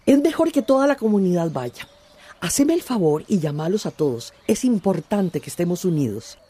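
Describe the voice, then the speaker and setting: A lively, mystical old woman. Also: lowish voice, normal speed, slightly rough. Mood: serene, assuring. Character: hurried, mystical old village woman, serenely certain. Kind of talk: radio drama acting.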